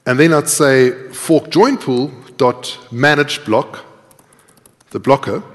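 A man's voice: mid-range voice, lively intonation, takes it slowly. A middle-aged man giving a live coding lecture, explaining and thinking aloud as he types. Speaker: conference speaker. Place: large tiered lecture hall. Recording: echoey lecture hall with long reverb.

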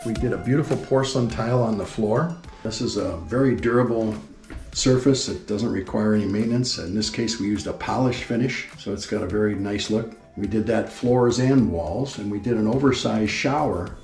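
Low, gravelly man in a roomy space. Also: normal speed, darkish tone. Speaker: home builder giving a house tour. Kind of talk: explanatory house tour narration. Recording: roomy indoor echo with music behind. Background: background music.

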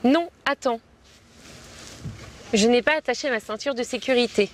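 A woman's voice: fairly high pitch, moderate pace, lightly acted. An actress in her twenties playing a cautious, rule-minded young woman in a scripted lesson dialogue. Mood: cautious, insistent. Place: outdoors on a city street, by and in a car. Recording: faint street and vehicle noise.

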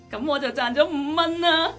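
A woman acts a cheerful young female flower seller with a Hong Kong Cantonese accent. Her voice is high and bright, cheeky and coaxing, in radio drama style with faint music underneath. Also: full character voice.

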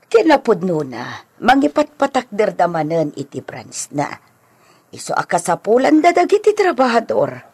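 A woman playing a helpful Filipino aunt in a radio drama. Her husky voice sits at medium pitch and swings very widely. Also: wildly swooping pitch, normal speed, a little mellow, exaggerated voice. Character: aunt helping her nephew find work.

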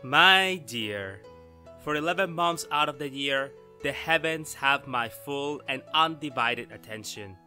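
Man as a bright, teasing radio-drama husband. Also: mid-range voice, expressive, measured pace, faint music behind. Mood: mock indignant. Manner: florid, teasing, theatrical. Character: playful, adoring husband, male astronomy professor. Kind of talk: old-time radio drama acting.

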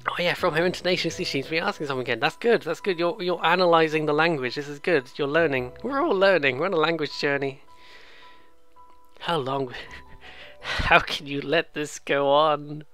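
A let's player giving casual, excitable, rambling game commentary, often interrupting themselves and reading dialogue aloud. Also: medium pitch, moderate pace. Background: game music.

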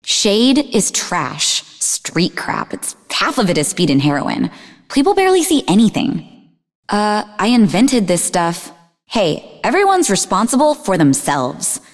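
An actress playing a sarcastic, defensive young woman in a video game, speaking in an expressive, conversational way. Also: medium pitch, a little slow, big-room sound. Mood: defensive, dismissive. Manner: snarky, conversational, emotionally expressive. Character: sarcastic, defensive young woman, idealistic martial-arts student and researcher. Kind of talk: video game character dialogue.

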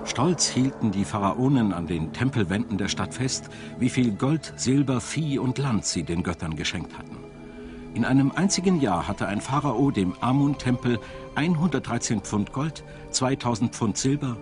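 A man reading scripted documentary narration in a measured storytelling manner. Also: mid-range voice. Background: background music.

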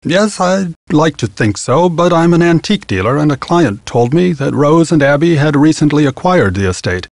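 Stuffy theatrical antiques expert in a game, swoops around a lot, no room sound. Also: male voice, a little high, big pitch swings, moderate pace, vocal fry, hardly any pauses, no room sound. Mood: smug, formal. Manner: pompous, formal, theatrical. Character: pompous scholarly male antique dealer, secretly greedy. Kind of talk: video game voice acting.

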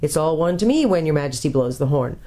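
A woman voicing a gruff, skeptical male red dwarf who grumbles bluntly, in a storybook reading. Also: low-pitched, expressive, fast talker, warm tone. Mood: indifferent.

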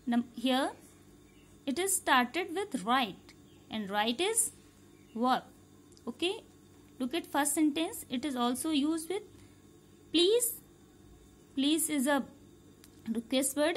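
Woman teacher, Indian English accent, high lively voice, unhurried recorded classroom lesson. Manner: slow, repetitive, patient explaining, checking understanding. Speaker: schoolteacher. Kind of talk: recorded grammar lesson for young pupils. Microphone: phone camera held over a workbook.

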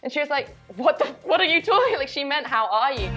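A playful woman chats casually in a bright studio, laughing often and trying on other accents. Her voice sits a little high, with soft music playing under it. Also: animated, fast. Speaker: interview participant. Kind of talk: casual group chat, mimicking accents.

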